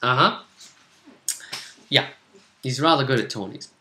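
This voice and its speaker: A man with a bright, crisp-edged voice recaps a tabletop roleplaying adventure. He talks casually and a little haltingly, as a game master would. The room around him rings with noticeable echo. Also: mid-range voice, animated. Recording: echoey room.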